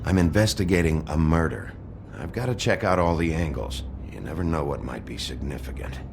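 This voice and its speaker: An actor playing a gruff, blunt, world-weary detective in a video game, speaking tersely in a gravelly growl. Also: male voice, very deep voice, a little fast, a little hiss or hum. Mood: businesslike. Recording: music behind the dialogue.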